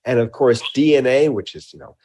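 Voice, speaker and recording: A man being interviewed as a scientist, explaining his research emphatically and haltingly, often repeating words. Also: medium pitch, a little mellow.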